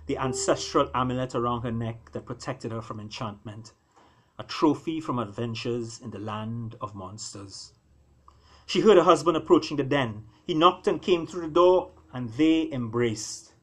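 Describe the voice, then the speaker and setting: A man tells an animal fable as an expressive storyteller, reading his own writing. His pitch sits in the middle for a male voice, and he shapes phrases deliberately, pausing for dramatic weight. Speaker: author reading own work.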